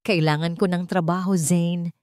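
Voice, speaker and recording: A woman's voice: on the low side, level tone, moderate pace, a little mellow, clean tone, no room sound, clean studio sound, natural voice. A woman voicing a proud, desperate young mother in a radio-style story, speaking with firm emphasis. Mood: defiant.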